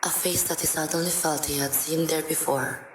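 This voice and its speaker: A woman narrating an amateur radio drama in a solemn, measured, scripted style. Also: deep voice, steady tone, noisy background. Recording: roomy echo, faint music behind.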